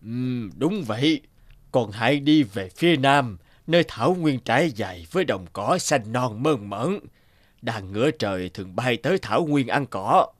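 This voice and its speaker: A man's voice: medium pitch, lively intonation, normal speed, no room sound, natural voice. Man voicing a solemn, wise old god. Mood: solemn, kindly. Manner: solemn, stately. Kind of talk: cartoon fairy-tale dubbing.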